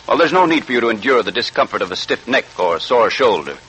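A man with a medium-pitched voice delivers a scripted radio commercial. He speaks as a 1940s announcer would, in the advertising style of that era. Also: big pitch swings, quick pace, a bit bright, husky, low-quality recording.

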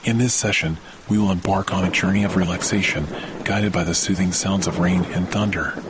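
A man hosting a guided sleep meditation, speaking in a slow, soothing way with long pauses. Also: sits low, fairly even intonation, background noise. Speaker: podcast host and meditation guide. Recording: loud rain and thunder behind voice. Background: rain and thunder sounds.

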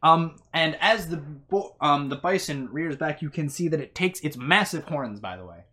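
A game master narrates a tabletop fantasy game in a voice of middling pitch. The delivery is improvised and conversational, halting often and stammering over words while describing the scene. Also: expressive, sharp tone.

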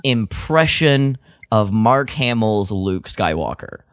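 A man co-hosting a podcast, discussing a drama casually and haltingly, often repeating words as he forms his point. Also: lowish voice, very animated, a bit bright, no room sound, studio quality. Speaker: podcast co-host.

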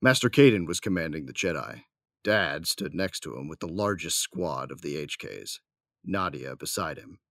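An actor as an armored warrior narrating a sci-fi audio drama. He has a very deep voice, recorded dry and close, with a wry sense of humor. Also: expressive, normal speed, creaky, fairly bright tone, no room sound, exaggerated voice. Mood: wry watchfulness. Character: armored male warrior, wry, affectionate, first-person narrator.

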